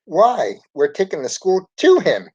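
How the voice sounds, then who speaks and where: A zany storybook schoolmistress, played by a man, eager and commanding. The voice is pushed up high and swoops boldly from word to word. The sound is dull and lo-fi. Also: wildly swooping pitch, measured pace, darkish tone, husky, exaggerated voice. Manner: bold, exclamatory, commanding. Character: zany, eager woman schoolteacher leading adventures. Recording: dull lo-fi recording.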